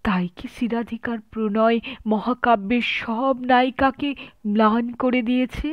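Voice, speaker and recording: A woman recites poetry in a measured, expressive literary style, often softening into a breathy hush at phrase ends. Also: a little high, no echo. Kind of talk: dramatic literary poem recitation. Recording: dull lo-fi, slightly muffled recording.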